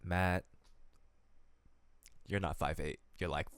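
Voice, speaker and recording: A man's voice: low-pitched, fairly even intonation, slow pace, a bit bright, clean tone, no echo. A young man in his twenties, a native Korean speaker, co-hosting a podcast and talking in a laid-back, hesitant way with many fillers. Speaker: podcast co-host, DJ. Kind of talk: casual chat.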